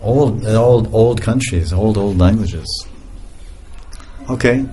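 A man's voice: lowish voice, slow pace, a little hiss or hum. A man giving an informal spiritual talk to a group, laughing often as he tells stories. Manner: laughing often, animated storytelling.

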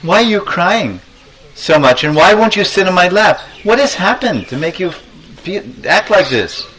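Man acting a worried, coaxing mother, raised pitch. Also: animated, unhurried, a bit bright, rough recording. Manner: coaxing, concerned questioning. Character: gentle, worried mother soothing her small son.

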